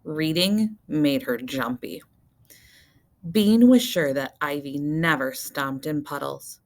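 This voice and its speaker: A woman with a low voice narrates a children's book. She reads like a teacher to young listeners, expressively, with deliberate emphasis on key words. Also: a little mellow. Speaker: teacher reading aloud to children.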